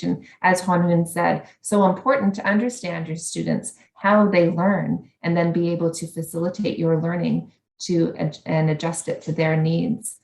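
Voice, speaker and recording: A woman's voice: lowish voice, a little mellow, echoey room. A woman with a Canadian accent giving an earnest conference presentation as an academic, with frequent ums.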